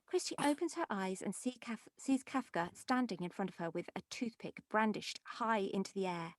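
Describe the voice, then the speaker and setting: A woman with a medium-pitched voice runs a script read-through. She reads out directions and talks informally, recorded close and dry. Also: expressive, moderate pace, no room sound. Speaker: session leader reading stage directions. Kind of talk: table-read narration and informal discussion.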